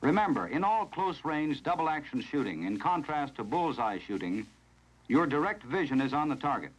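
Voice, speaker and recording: American male narrator, somewhat high pitch, 1970s instructional film style, formal, measured, scripted, vintage soundtrack. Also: big pitch swings, slightly rough, low-quality recording.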